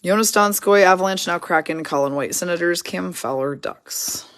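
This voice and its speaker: A hoarse woman hosting a card break, casually rattling off player names and teams as she opens packs. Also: on the low side, normal speed, a bit bright. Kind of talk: casual card-opening commentary.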